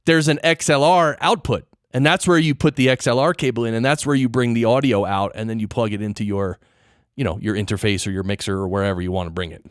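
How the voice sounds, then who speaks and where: A man chats as a podcast host in a very dry, close recording. His pitch sits in the middle for a male voice. He explains things informally and often turns a point into a question for his guest. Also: steady tone, fast, clean tone, dry recording. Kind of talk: casual interview chat about audio gear and production.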